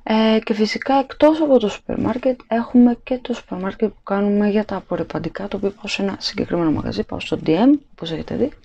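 A woman's voice: mid-range voice, vocal fry, few pauses, warm tone, dull audio. A woman in her thirties chatting casually to the camera in her home kitchen at night, rambling with plenty of fillers and restarts. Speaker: vlogger. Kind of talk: casual haul vlog chat.

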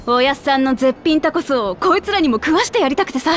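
A woman voicing a brash, hot-headed but friendly young lion-woman princess in a game, with rough boyish speech. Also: high voice, expressive, fast, faint music behind. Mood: cheerful, casual. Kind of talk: video game cutscene acting.

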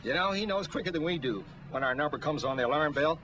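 A man's voice: on the high side, lively intonation, fast, a bit bright, lo-fi sound. An actor playing a chatty old fireman, telling stories in a folksy, colloquial way in a 1940s film. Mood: fond. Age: older. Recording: faintly noisy 1940s film soundtrack.

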